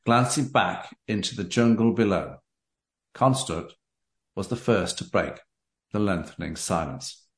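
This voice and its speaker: A man hosting a book interview, addressing the audience deliberately and reading aloud. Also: sits low. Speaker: podcast host. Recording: roomy, echoey sound.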